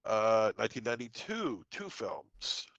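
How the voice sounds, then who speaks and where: A man's voice: lowish voice, big pitch swings, gravelly, a bit bright, dry recording, dull audio. Congested man reading horror trivia. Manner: lists dates and titles with quick asides. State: congested from a cold. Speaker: podcast co-host. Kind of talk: casual podcast segment reading trivia.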